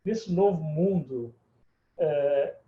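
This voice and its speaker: A middle-aged man from Mozambique, a book publisher, giving measured, formal interview answers over a video call. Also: on the high side, slight background noise. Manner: formal and measured, building points in lists. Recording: echoey room, dull lo-fi sound.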